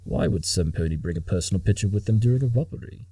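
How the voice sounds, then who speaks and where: A man playing an arrogant, brilliant cartoon pony detective who talks in a clipped, condescending, deliberate way. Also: sits low, animated, dark tone, breathy edge, dry recording, full character voice. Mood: deductive, intent. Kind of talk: amateur cartoon audio drama acting.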